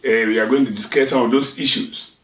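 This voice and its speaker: A man from Ghana whose first language is Twi, speaking English with a Ghanaian accent as the host of a satirical talk show, unhurried and explanatory with pauses and restarts. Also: medium pitch, fairly even intonation, roomy sound, far from the mic.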